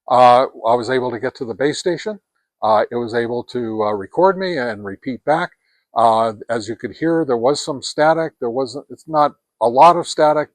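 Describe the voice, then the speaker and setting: A man presenting an informal hands-on product review as an amateur radio hobbyist, speaking in a halting, hesitant way. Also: mid-range voice, dry recording.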